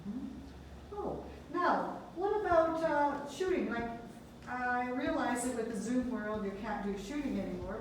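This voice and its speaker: A woman's voice: a little high, slight background noise. A woman interviewing arts club members in a chatty, enthusiastic way. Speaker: interviewer and producer. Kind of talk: casual interview. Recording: echoey room, far from mic, with music.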